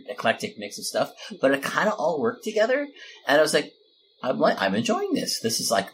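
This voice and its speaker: A man's voice: medium pitch, fairly bright tone, a little hiss or hum, low-quality recording. A Canadian man hosting a casual chat, enthusiastic and rambling as he talks. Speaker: podcast host.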